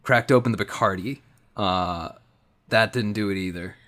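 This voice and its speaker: A man's voice: lowish voice, normal speed, a bit bright. A man playing a tabletop roleplaying game, improvising casually in slangy, laid-back wording.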